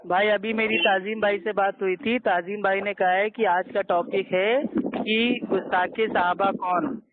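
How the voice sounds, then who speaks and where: A man's voice: high voice, fairly even intonation, measured pace, noisy background. A man in an argumentative phone debate, speaking insistently and appealing to a moderator. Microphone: telephone. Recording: tinny narrowband conference phone call.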